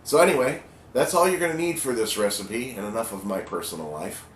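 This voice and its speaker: A man in his fifties hosting a casual home cooking video from his kitchen, talking in a slow, homely, chatty way and sounding a little congested. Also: on the low side, echoey room, a little hiss or hum. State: slightly congested.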